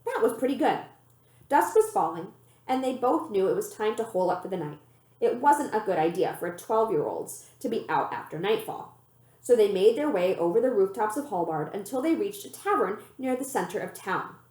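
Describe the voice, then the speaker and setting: A woman reading a children's novel aloud as an expressive, animated storyteller. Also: mid-range voice, normal speed. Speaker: librarian reading a book aloud. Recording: roomy, echoey room sound.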